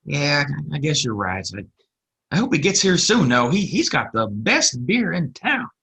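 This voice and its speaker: Casting: male actor as nervous frontier barfly, gravelly and raspy, stammering, dim, for audio drama. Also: a little high, big pitch swings, moderate pace, sharp tone, exaggerated voice. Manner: stammering, hesitant. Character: fidgety, dim, timid Old West barfly, Cole. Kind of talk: Western audio drama acting.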